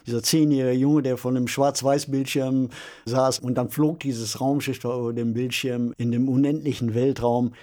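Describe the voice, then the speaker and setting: A man's voice: mid-range voice, little pitch movement, normal speed, dark tone. Casting: male podcast co-host in his sixties, mid-range voice, conversational storyteller with an explanatory delivery. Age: 60s. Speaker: science fiction author.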